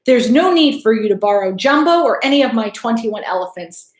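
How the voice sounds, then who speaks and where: A woman's voice: on the high side, lively intonation, a little fast, roomy sound, lightly acted. A woman voicing a jovial, confident circus showman from a storybook in a grand, pronouncing manner. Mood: pleased, grand.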